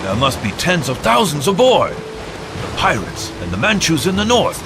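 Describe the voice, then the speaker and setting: An actor playing a resolute, authoritative admiral in a dramatic game cutscene, speaking deliberately. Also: male voice, fairly high pitch, huge pitch swings, background noise. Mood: grave, urgent. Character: commanding, resolute Korean admiral. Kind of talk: dramatic scripted game acting. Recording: loud music behind the voice.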